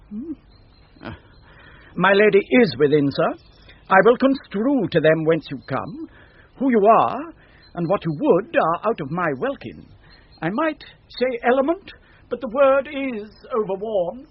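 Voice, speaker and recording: A British actor playing a witty, playful jester who begs with mock-formal wordplay in a classic radio Shakespeare play, husky in voice. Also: male voice, high voice, sing-song, a little slow, pauses often, heavily acted. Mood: teasing, wheedling. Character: witty male jester begging for coins, playful. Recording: dull vintage radio recording.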